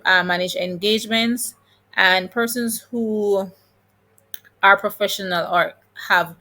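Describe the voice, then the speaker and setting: Female explainer host, Jamaican accent, fairly low register, noticeable vocal fry, encouraging informal guidance. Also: fairly bright tone. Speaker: video host giving job-hunting advice. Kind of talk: informal explainer, reading listings and commenting.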